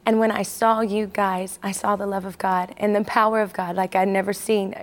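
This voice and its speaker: Woman, 40s, from Texas, candid, hesitant testimony, middle pitch. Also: little pitch movement, moderate pace. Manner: candid, hesitant, with many fillers. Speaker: guest. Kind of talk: personal testimony in an interview.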